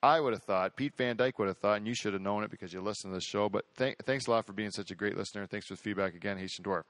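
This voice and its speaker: A man's voice: fairly low pitch, rapid-fire, a bit bright, slight background noise. Boastful, rambling male podcast host. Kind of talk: casual chat.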